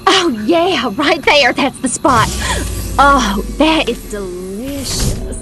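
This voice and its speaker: A ditzy young beauty queen in a game cutscene, very high and swooping. She sounds airy and naive, with loud music behind her. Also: lively intonation, takes it slowly. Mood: blissful. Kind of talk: video game cutscene acting.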